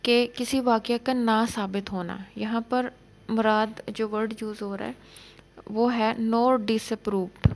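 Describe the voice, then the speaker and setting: Slow, even-toned woman lecturing law. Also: fairly high pitch. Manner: slowly reads out statutory definitions, then explains in plain terms. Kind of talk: explaining legal text.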